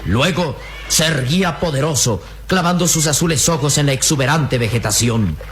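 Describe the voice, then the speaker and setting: An actor playing a solemn adventure storyteller, narrating a radio drama emphatically. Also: male voice, a little high, animated, moderate pace, fairly bright tone, slight background noise. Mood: epic, descriptive. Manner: grave, emphatic, florid storytelling delivery.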